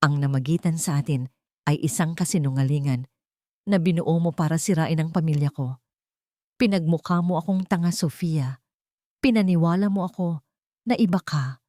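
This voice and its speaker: A woman's voice: sits low, moderate pace, pauses often, mellow, dry recording, clean studio sound, natural voice. A woman voicing a betrayed young man who speaks with pain and accusation, in an audio love drama. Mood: angry, betrayed. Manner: pained, accusing. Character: hurt, betrayed young man confronting his lover.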